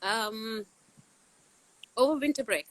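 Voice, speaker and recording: A woman in her forties, a science teacher, answering interview questions outdoors in a loud, booming voice, laughing often. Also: slow pace, poor audio. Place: outdoors in the wind.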